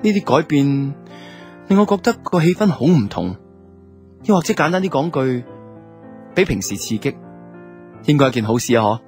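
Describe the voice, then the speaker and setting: A man's voice: medium pitch, sing-song, normal speed, long pauses, darkish tone, natural voice. A man with a Hong Kong Cantonese accent playing a laid-back young man who narrates his love life in a reflective, colloquial way for a radio drama. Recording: music under the voice.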